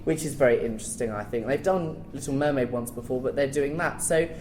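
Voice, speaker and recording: A man's voice: medium pitch, fairly quick, a little mellow, rough recording. Analytical British man, faint background noise. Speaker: panelist, pantomime commentator. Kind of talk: informal panel discussion. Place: live panel in a theatre.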